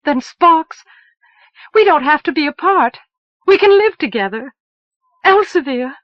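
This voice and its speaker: A woman reading aloud and voicing an impulsive, desperate young woman who speaks loudly and at a high pitch. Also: expressive, a little slow, no room sound, highs cut off. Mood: overjoyed. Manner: loud, high and pleading.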